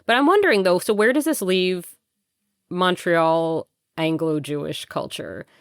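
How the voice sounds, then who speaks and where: Low-voiced chatty woman interviewer. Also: expressive, a little slow, clear, resonant tone, no echo, studio quality. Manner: chatty, frequent "like" and "you know". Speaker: interviewer, podcast host. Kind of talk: casual conversational interview.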